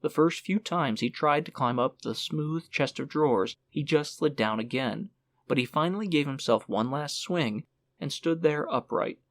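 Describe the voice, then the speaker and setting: A man narrating a literary audiobook in a measured storytelling manner. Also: on the high side, expressive, a bit bright, no room sound.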